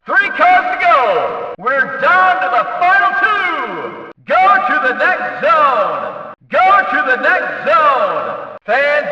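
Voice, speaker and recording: A rowdy, excitable male race announcer for a demolition derby video game. His voice is pitched very high and cuts through with a very forceful, bright edge. He punches and stretches words for drama, ringing out in heavy stadium-style echo. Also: exaggerated voice. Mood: building excitement, then explanatory. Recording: very echoey, distant, slightly dull.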